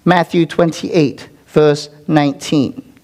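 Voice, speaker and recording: A man's voice: a little high, steady tone, takes it slowly, a little mellow. A man preaching a sermon to his congregation as its pastor, earnest, with call-and-response asides to the listeners.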